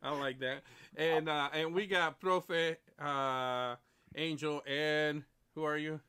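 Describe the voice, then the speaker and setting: A man's voice: on the high side, steady tone, slow pace, sharp tone, smooth. A man in his late forties hosting a casual livestream chat, rambling and repeating himself, laughing often. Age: 40s. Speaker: stream host.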